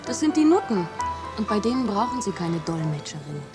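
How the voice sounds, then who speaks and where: A woman's voice: medium pitch, sing-song, brisk, close to an everyday voice. An actress playing a cynical, mocking young woman student in a radio play. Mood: sardonic. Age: young adult. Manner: sardonic, mocking. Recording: radio play with music behind.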